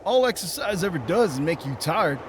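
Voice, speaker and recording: A man's voice: fairly high pitch, very animated, fairly quick. Lazy wisecracking survivor, a man acting. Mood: joking. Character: lazy, wisecracking survivor who stays at camp. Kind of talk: comic dub voice acting. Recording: noticeable background ambience noise.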